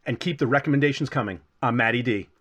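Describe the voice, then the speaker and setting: A man's voice: medium pitch, fast, loud music behind the voice. A man hosting a casual scripted game review with playful, self-deprecating asides. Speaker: video game reviewer and channel host.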